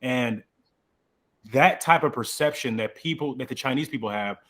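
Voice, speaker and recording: A man's voice: medium pitch, moderate pace. A man hosting a podcast, talking in a casual, slangy way as he tells stories from growing up. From Central Africa, raised in Texas. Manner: casual, slangy, relaxed storytelling. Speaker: podcast host. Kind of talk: podcast conversation.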